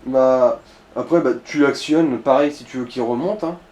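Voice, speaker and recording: A man's voice: medium pitch, normal speed, a little mellow, highs cut off. Casual gamer guy in an echoey room. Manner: colloquial, coaching, gives tips. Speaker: let's play co-commentator guiding the player. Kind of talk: casual gaming chat. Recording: echoey room, faint background noise.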